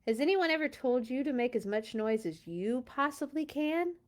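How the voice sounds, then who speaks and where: Woman, children's read-along host, expressive storybook narration, chatty playful manner, dramatic emphasis, casual asides. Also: high-pitched, normal speed.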